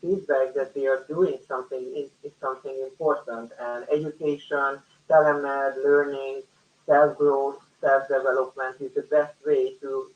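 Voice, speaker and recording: Man, flat delivery, halting, earnest, panel discussion, dull distant microphone. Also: medium pitch. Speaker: panel speaker. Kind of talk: unscripted discussion. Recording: echoey, dull, distant-microphone call audio.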